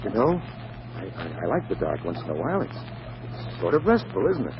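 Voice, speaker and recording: A man's voice: deep voice, wildly swooping pitch, fairly quick. Polite businessman suitor, old radio. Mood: bashful, hesitant. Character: smooth-talking businessman, scheming yet bashful suitor. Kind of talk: 1940s radio acting. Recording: muffled, hissy 1940s radio recording.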